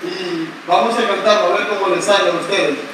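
High-voiced man preaching in an echoey pavilion. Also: steady tone, brisk. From not Puerto Rican. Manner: playful, joking with the congregation, leads call-and-response. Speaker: priest preaching. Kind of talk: sermon at a retreat Mass. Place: large open-air covered pavilion, outdoors. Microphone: camera far back in the congregation. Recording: echoey, distant, noisy outdoor pavilion.

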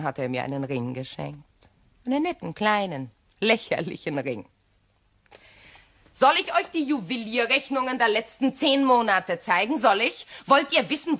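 Betrayed widow, bitter, proud, middle-aged, sarcastic, animated pitch, crime radio play. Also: female voice. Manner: theatrical, sarcastic, deliberate repetition. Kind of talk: radio crime drama acting.

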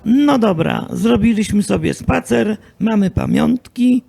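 Man playing sardonic deity, amateur radio play, heavily creaky, dark husky tone, slow, level-headed. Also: sits high, animated, exaggerated voice. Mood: dry, commanding.

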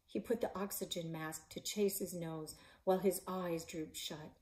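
A woman in her forties, a librarian and author, reading her children's novel aloud in a living room, voicing the characters with shouts and whispers. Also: on the low side, fairly even intonation, unhurried. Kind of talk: children's book read-aloud. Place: living room in front of a stone fireplace.

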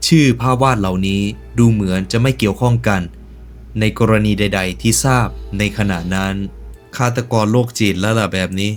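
A man's voice: lowish voice, normal speed, soft background music. A man hosting a reaction video, commenting casually in slangy speech with joking asides and stretches of narration. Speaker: YouTube reaction host.

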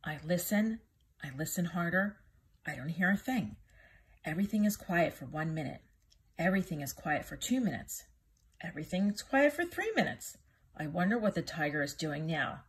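Female storybook reader, teacher type: lowish, husky, expressive delivery for small children. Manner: expressive, drawn-out sound words. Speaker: teacher reading a picture book aloud. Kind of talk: animated children's storybook reading.

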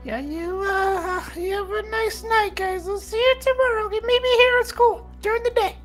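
An eager little schoolboy, with a very high, childlike voice. He rambles and pleads apologetically, in cartoon comedy style, over some music. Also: moderate pace. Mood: eager, nervous. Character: small, eager, apologetic schoolboy, the principal's son.

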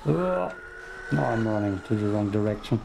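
Gravelly Austrian man streaming a game. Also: lowish voice, lively intonation, moderate pace, background noise. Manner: chatty, muttering to himself, frustrated asides. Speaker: gameplay streamer. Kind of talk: casual let's play commentary.

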